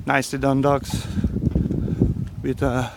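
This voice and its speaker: Slow-talking man on windy docks. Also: medium pitch, monotone, vocal fry. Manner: slow, halting, with frequent fillers. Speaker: sailboat owner vlogger. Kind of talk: casual walking tour narration. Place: outdoors on marina docks. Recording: loud wind noise outdoors.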